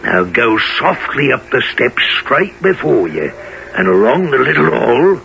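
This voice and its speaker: An actor playing a brutal, gruff, commanding burglar with a gravelly voice in a radio play. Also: male voice, mid-range voice, big pitch swings, a little slow, bright, forceful tone, exaggerated voice. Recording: dull, noisy old radio recording.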